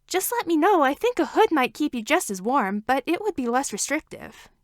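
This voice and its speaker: A nerdy, caring woman inventor, as a woman narrator puts her on, talks in a chatty, informal way. Her voice sits high, and the storybook recording is dry and close. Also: moderate pace, no echo. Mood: caring, eager. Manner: animated, informal, enthusiastic talk.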